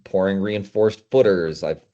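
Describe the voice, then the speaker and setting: A man's voice: deep voice, measured pace, dark tone. A man hosting a casual interview, chatting and adding practical points. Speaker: host. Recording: dull, lo-fi audio.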